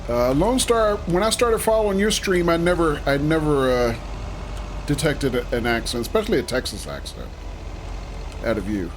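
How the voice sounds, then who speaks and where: A man's voice: a little high, animated, normal speed, a little hiss or hum. Chatty streamer man reading chat. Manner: chatty, reads chat messages aloud and greets viewers. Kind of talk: casual livestream chat while playing.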